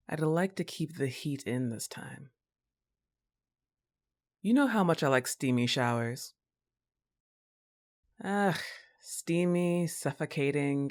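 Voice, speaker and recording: A woman's voice: deep voice, darkish tone, heavily acted. A woman playing a laid-back, teasing, slightly annoyed young goth roommate in a roleplay audio, with a sarcastic, casual drawl. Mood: playfully defensive. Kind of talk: acted roleplay ASMR monologue.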